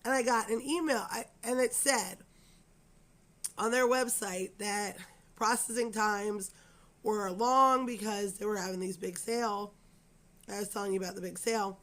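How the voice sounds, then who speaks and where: Raspy-voiced woman with a cold, chatting. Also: mid-range voice, steady tone, moderate pace, a bit bright. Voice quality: raspy, scratchy. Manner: rambling, chatty, apologetic, with many fillers. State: hoarse from a cold. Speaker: crochet channel host. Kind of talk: casual chat to camera.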